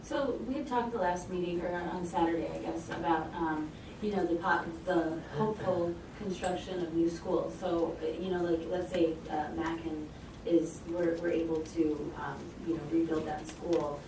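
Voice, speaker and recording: A woman with a low voice puts questions at a public meeting. She is hesitant and informal, often halting. The room echoes and there is clear background noise. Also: steady tone, distant mic. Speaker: school board member. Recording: echoey, rumbling, noisy room from afar.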